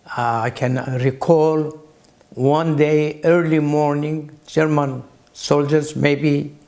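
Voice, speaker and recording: A man in his eighties with a Greek accent, recalling his early life as an interview guest in halting phrases with long pauses. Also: on the high side, flat delivery, darkish tone. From Greece. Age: 80s. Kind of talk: slow reflective recollection.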